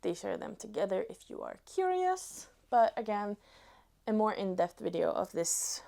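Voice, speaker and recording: A woman in her twenties from Norway with a Norwegian accent, chatting casually to viewers as a knitting vlogger, pausing between short phrases. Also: medium pitch, very animated, vocal fry, warm tone. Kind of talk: casual chat to camera.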